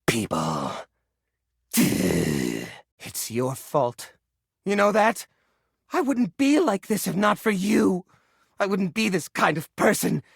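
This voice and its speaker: A man's voice: high-pitched, vocal fry, dry recording, studio quality, full character voice. A man playing a neurotic, possessive hoarder, a junk drawer come to life in a video game, with a theatrical, whiny, defensive delivery. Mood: bitter, accusing. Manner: theatrical, whiny, defensive, stammering when upset. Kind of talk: video game character voice acting.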